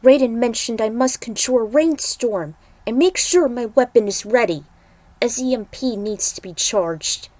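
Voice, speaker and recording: A woman's voice: a little high, a little slow, darkish tone, no echo. A woman playing a resolute male shaman warrior in a fantasy story, earnest and declarative.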